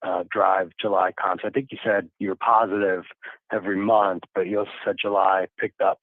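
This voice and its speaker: A man's voice: low-pitched, level tone, moderate pace, dry recording. A male financial analyst casually asking questions on an earnings call, hesitating often, over a phone line. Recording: narrowband phone line, dry.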